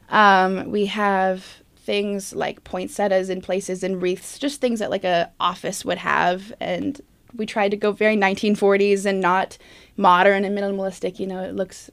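A woman's voice: mid-range voice, clean tone. A young woman, a stage manager, talking casually in an interview about her work.

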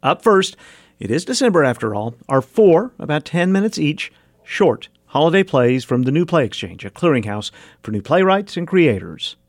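A man's voice: on the high side, big pitch swings, moderate pace, dry recording, studio quality. A man hosting a radio show, delivering scripted narration. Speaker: radio host and reporter.

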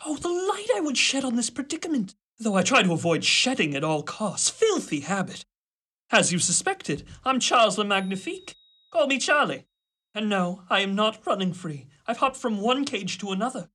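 Comedic radio play casting: female actor as vain male show rabbit, pompous and florid, highly animated delivery. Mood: pompous, lamenting. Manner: pompous, affected, florid diction. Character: vain, pompous champion pet rabbit, male, theatrically aggrieved.

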